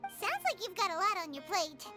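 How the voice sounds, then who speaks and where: A woman voicing a small, chirpy, blunt childlike fairy companion in an animated video game, with a squeaky voice. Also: moderate pace, music in the background. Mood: curious.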